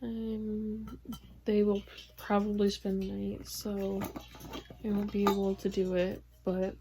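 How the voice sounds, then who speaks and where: A young woman vlogging casually about her reading, rambling with lots of fillers, her voice going hoarse. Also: mid-range voice, completely flat delivery, takes it slowly, rough recording. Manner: rambling, filler-heavy, soft-spoken. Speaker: book vlogger. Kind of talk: casual vlog chat.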